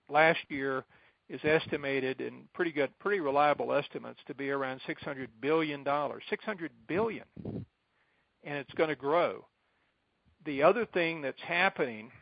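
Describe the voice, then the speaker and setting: Middle-aged American male, advocacy leader on a muffled conference call, creaky voice, deliberate and emphatic phrasing. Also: a little high, no room sound. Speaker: advocacy group president addressing supporters. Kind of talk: informal briefing on a conference call. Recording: dull, band-limited conference call line.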